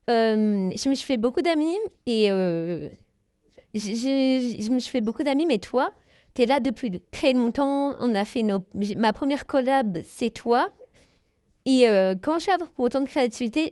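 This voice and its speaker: A woman's voice: a little high, warm tone, no echo, clean studio sound. A young woman answering her viewers' questions in a casual, hesitant chat, full of fillers and small laughs. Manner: hesitant, many fillers, giggly. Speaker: YouTuber answering fan questions.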